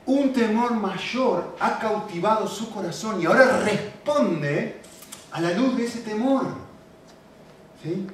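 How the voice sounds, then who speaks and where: A man with a Rioplatense Spanish accent preaching a sermon, animated and repetitive, often checking in with questions to the congregation. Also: high-pitched, noisy background. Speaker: pastor. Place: church. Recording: very echoey hall, mic at a distance.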